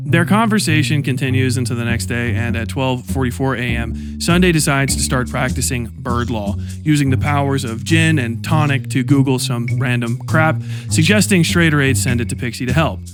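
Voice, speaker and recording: A man reads a scripted commentary timeline at a middling pitch, measured and dry with a sardonic edge. A guitar track plays clearly underneath his voice. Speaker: commentary narrator. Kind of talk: scripted documentary-style narration. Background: guitar music.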